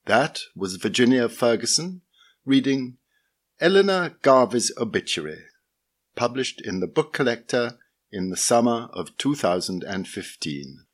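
A man's voice: medium pitch, very animated, a little slow. A man introducing and closing a recorded reading in a plain, matter-of-fact announcing manner. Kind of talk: scripted introduction and outro.

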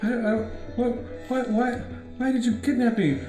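A woman's voice: on the high side, steady tone, moderate pace, lightly acted. Woman as a flustered, stammering captive in echo. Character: bumbling, battered, earnest kidnapped hero's companion. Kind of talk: comedic radio play acting. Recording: roomy echo, music behind.

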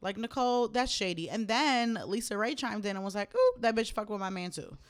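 A young woman co-hosting a podcast, chatting casually, cursing freely and jumping up high when outraged. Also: fairly quick, clean tone. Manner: animated, swears often, spikes high when outraged. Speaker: podcast co-host. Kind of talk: casual chat.

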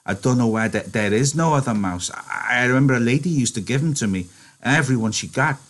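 A man voicing a slow-witted, gentle big farmhand who stammers and pleads like a child. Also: mid-range voice, voice pushed up, close to an everyday voice. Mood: pleading.

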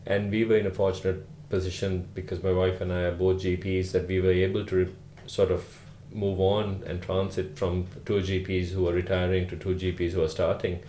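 A man from Sri Lanka, a rural GP, answering podcast interview questions in an unhurried way with many "uh" fillers. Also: low-pitched, flat delivery, dark tone, echoey room, a little hiss or hum. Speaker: guest, rural GP.

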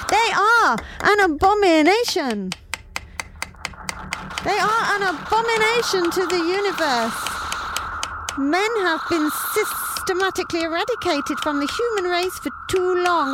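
A woman voicing a pompous, chauvinist male alien warlord villain, sneering and preachy in manner, over steady background noise. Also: high-pitched, voice pushed up.

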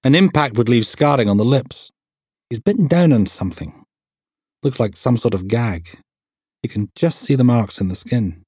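A man's voice: mid-range voice, lively intonation, moderate pace, mellow, husky, no echo, clean studio sound. A man voicing a blunt, coolly observant female forensic technician in clipped, matter-of-fact audiobook dialogue. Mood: brisk, clinical.

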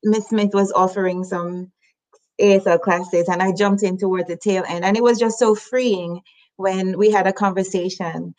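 A woman's voice: mid-range voice, steady tone, moderate pace, a little mellow, poor audio. A woman hosting an interview, talking in a reflective, conversational way and laughing lightly. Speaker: host.

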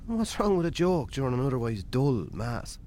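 A man's voice: medium pitch, sing-song, normal speed, mellow, slight background noise, natural voice. A man playing a put-upon, hungover Irish farmer in a radio drama, weary, dry and sardonic. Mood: uncomfortable, placating. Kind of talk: naturalistic radio drama acting.

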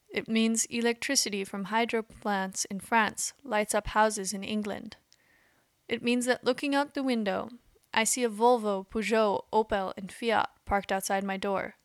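A woman hosting a podcast, giving measured, explanatory scripted narration. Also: mid-range voice, dry recording, clean studio sound. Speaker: podcast host.

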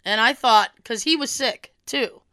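A young woman, hoarse from a cold, telling a story in casual chat and acting out quoted dialogue with a swear now and then. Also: on the high side, a little slow, bright, forceful tone, no room sound.

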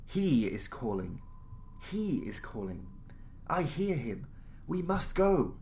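A man's voice: mid-range voice, very animated, takes it slowly, a little mellow, a little hiss or hum. A man voicing a fervent, urgently pleading sailor who has gone mad, in a dramatic story reading. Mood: entranced, feverish.

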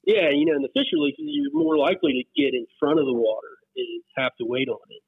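A man's voice: a little high, huge pitch swings, fast talker, gravelly, breathy edge, dry recording. American guy in his 40s reminiscing casually, phone-like sound, pretty dry. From West Virginia, US. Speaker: interview guest, whitewater paddler. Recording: muffled phone-like line, dry.

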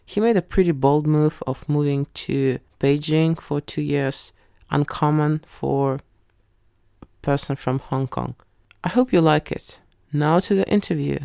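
A man hosting a podcast interview, speaking with careful, slightly halting phrasing. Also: on the high side, gravelly, warm tone, clear, resonant tone, no echo, clean studio sound. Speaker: podcast host. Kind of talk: casual interview.

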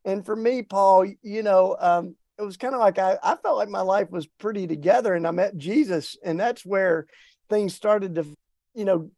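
A middle-aged man with an East Tennessee Southern accent speaks in a folksy, relaxed way. His talk rambles gently and is full of restarts. The sound is dry and close, with little room around his voice. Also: high voice, moderate pace, dry recording. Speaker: guest. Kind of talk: casual interview talk.

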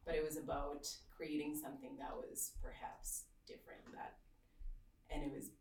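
A woman in her thirties from Slovakia, a swimwear designer, talking in a casual interview with many ums and soft laughs. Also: low-pitched, little pitch movement, normal speed, creaky, echoey room, far from the mic, a little hiss or hum, poor audio. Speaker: swimwear designer and business owner.

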